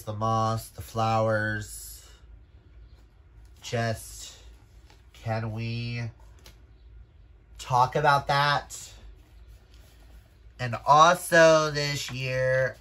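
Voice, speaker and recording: A man talks casually to his viewers as a book vlogger. His pace is slow and his pitch sits in the middle for a male voice. He gushes emphatically, leaning on words and exclaiming as he goes. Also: bright, forceful tone. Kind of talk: casual chat to camera.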